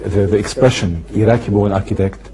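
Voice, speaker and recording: A man's voice: on the low side, brisk, crowd noise. A man, a native Arabic speaker, hosting a TV interview and asking pointed, probing questions. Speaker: TV interview host.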